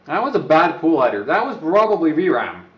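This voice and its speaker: Man, non-native english speaker, rambling, joking, slightly high voice, roomy echo, a bit far from the mic, dull lo-fi sound. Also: sing-song, brisk. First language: not English. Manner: rambling, joking, talks to himself. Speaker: live streamer. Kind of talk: casual live-stream chat to viewers. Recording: echoey room, away from the mic, dull lo-fi sound.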